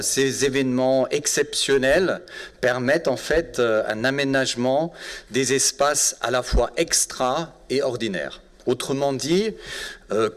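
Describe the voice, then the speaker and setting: Bavarian-accented man, hesitant. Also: medium pitch. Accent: German (Bavarian). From Bavaria, Germany. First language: German. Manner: hesitant, many filler sounds. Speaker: urban planning professor, event moderator. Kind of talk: public lecture introduction.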